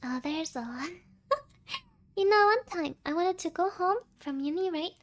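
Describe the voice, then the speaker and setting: A woman's voice: lively intonation, measured pace, a little hiss or hum. A woman voicing a cute, whiny little fairy girl in an anime VTuber roleplay, childlike and cutesy. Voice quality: very high, childlike. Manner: cutesy, drops in Japanese words, third-person self-talk.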